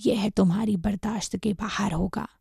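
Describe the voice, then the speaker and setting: A woman voicing an angry, reproachful male monk master in a storybook tale, with a husky, breathy voice. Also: lowish voice, unhurried, darkish tone, no room sound, clean studio sound. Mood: scornful threatening. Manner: indignant, reproachful. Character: angry, scolding revered monk master, adult man.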